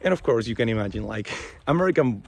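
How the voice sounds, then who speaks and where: A man's voice: medium pitch, lively intonation, fast talker, vocal fry, no echo. A young man from Chile with a Chilean Spanish accent, talking casually in English to his vlog audience and leaning on fillers like "like" and "you know". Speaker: vlogger. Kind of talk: casual talking-head monologue. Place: outdoors.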